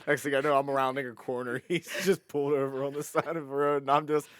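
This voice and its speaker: A man's voice: medium pitch, little pitch movement, quick pace, clean tone, no echo, clean studio sound. A man co-hosting a podcast in casual chat, joking and swearing with plenty of slang. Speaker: podcast co-host.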